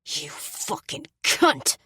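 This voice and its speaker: A woman's voice: on the low side, normal speed, dry recording, clean studio sound, close to an everyday voice. A woman voicing a sneering, violent young man who snarls and mocks in an audiobook. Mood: menacing. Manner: snarling, mocking.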